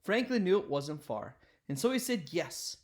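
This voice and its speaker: A man reading a children's picture book aloud in an expressive, deliberate storytelling style. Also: high voice.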